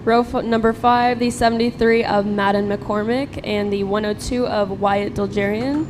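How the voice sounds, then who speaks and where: A woman announcer reading the starting grid row by row in a steady, list-like delivery. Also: mid-range voice, moderate pace, noisy background. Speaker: race announcer.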